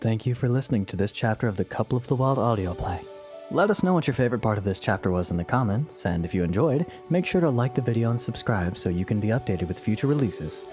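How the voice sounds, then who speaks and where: A man's voice: lowish voice, expressive, quick pace, a little mellow. A man delivers a scripted outro as a channel announcer. He is upbeat and projects his voice, with music behind him.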